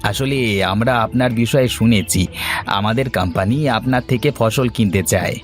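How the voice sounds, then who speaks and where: A man's voice: lowish voice, normal speed, lightly acted. Man playing an officious city crop buyer, music behind. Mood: businesslike, persuasive. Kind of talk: Bangla cartoon dubbing. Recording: music under the voice.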